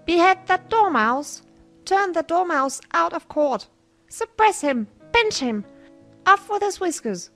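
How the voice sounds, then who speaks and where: A man's voice: expressive, measured pace, faint music behind, heavily acted. A man voicing a bossy queen who shrieks harsh orders. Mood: shrieking. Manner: shrill, commanding shouts.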